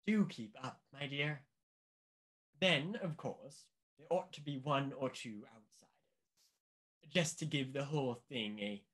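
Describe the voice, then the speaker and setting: A man's voice: medium pitch, fairly bright tone. Man playing a pompous, fussy government official. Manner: pompous, emphatic, formal. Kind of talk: radio play acting.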